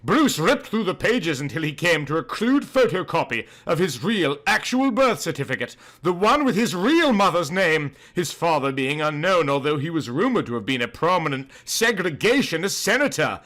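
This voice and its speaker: Male narrator, mock-serious parody storytelling, theatrical emphasis, lively swings in pitch, forceful tone. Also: moderate pace, hardly any pauses. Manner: theatrical, overemphatic.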